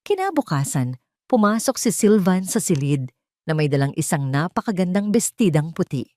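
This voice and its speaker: A woman narrates a scripted drama in a slightly low voice. Her pitch moves in lively, expressive swings. The sound is dry, with no room around it. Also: moderate pace, no room sound. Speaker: story narrator.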